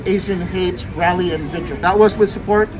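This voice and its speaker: A man's voice: sits high, poor audio. A man from the Philippines who is a community leader, interviewed at an outdoor festival. He speaks earnestly and halts often to restart his sentences. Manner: earnest, halting, with many restarts. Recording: outdoor interview with loud music behind.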